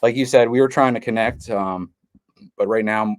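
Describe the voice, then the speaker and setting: A man's voice: lowish voice, moderate pace, dry recording, studio quality. A man hosting a casual podcast who talks in a laid-back way, leaning on fillers like "um" and "you know". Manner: laid-back, many fillers, calls guest bro. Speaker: podcast host.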